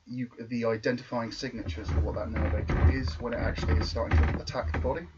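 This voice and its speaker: A man's voice: medium pitch, fairly even intonation, fast talker. A man co-hosting a podcast, explaining things in a casual, opinionated and sweary way. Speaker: podcast co-host. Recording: distant mic, dull lo-fi, faint noise.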